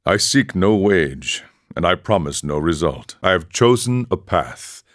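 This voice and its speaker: A man playing a serene, world-weary wandering monk in a video game, speaking in a measured, formal, philosophical manner. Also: deep voice, lively intonation, no room sound, studio quality. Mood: grave, resolute. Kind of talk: video game character dialogue.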